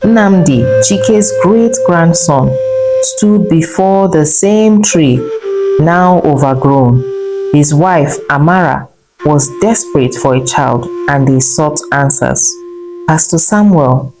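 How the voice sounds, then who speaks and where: Woman, low voice, scripted story narration, loud instrumental music behind. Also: takes it slowly, low-quality recording. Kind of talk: scripted folktale narration, reading all the dialogue. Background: prominent instrumental music.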